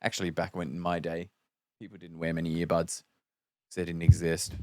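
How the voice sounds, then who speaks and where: A man co-hosting a podcast, musing wryly in relaxed, casual conversation. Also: low-pitched, fairly quick. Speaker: podcast co-host. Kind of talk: casual chat.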